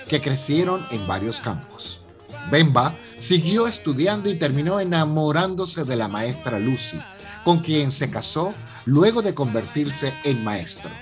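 A man with a Venezuelan accent narrating a melodramatic radio soap in a theatrical storytelling manner. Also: medium pitch. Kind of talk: scripted radio drama narration.

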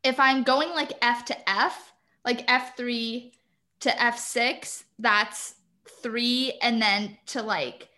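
A woman's voice: on the high side, fairly even intonation, slow, bright, forceful tone. A woman in casual chat who explains singing terms in detail and laughs often.

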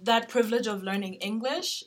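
A woman from Uganda in a casual, reflective discussion, speaking haltingly and repeating words as she builds a point. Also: a little high. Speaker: panel discussion participant.

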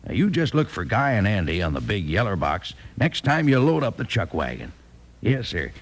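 An American man selling in a 1950s radio advertisement with a folksy cowboy drawl and a gravelly, husky voice. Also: on the low side, big pitch swings, moderate pace. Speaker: commercial pitchman.

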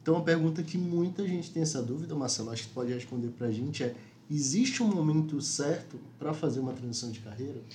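A man's voice: on the high side, expressive, fast talker, dark tone, slight background noise. A man hosting a company livestream, introducing guests in an easy conversational way with frequent tag questions. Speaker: host.

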